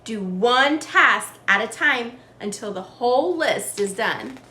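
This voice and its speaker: Woman reading as an earnest high-voiced schoolgirl. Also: expressive, slow pace, pushed and bright, exaggerated voice. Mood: focused. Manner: earnest, encouraging self-talk. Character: determined, self-encouraging schoolgirl in a storybook. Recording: roomy echo with faint background noise.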